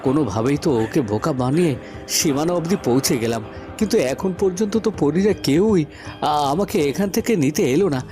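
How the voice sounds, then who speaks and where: A man's voice: fairly high pitch, animated, brisk, music in the background, lightly acted. A man voicing an earnest young prince in a melodramatic cartoon, often stammering and repeating his words. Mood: frightened. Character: earnest young prince, devoted lover, easily frightened.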